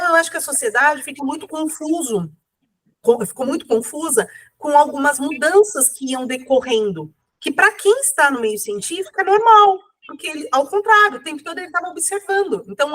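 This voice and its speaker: Woman with a cold, high voice, rambly casual interview talk. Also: lively intonation, brisk, a bit bright, rough recording. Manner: rambling, many fillers and self-corrections. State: has a cold.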